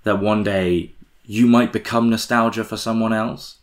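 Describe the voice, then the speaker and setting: A man in his thirties hosting a podcast, chatty and animated, swearing casually. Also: fairly low pitch, normal speed. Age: 30s. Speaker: podcast host.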